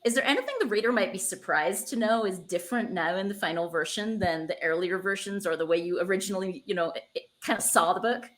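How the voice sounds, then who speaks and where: Encouraging woman interviewer, mid-pitched. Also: fast, hardly any pauses, a bit bright. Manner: effusive, encouraging. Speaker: interviewer, host. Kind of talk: conversational interview.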